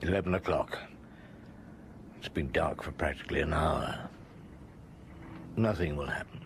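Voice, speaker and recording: An actor playing a weary older police chief inspector in a radio drama, muttering low to himself. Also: male voice, measured pace, vocal fry, a little hiss or hum, full character voice. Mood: brooding.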